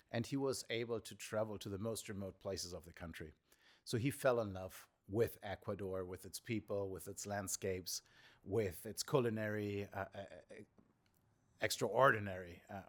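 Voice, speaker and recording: A middle-aged man from Germany, a tour guide answering interview questions in a measured way with frequent fillers. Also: fairly low pitch. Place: indoor shop or venue.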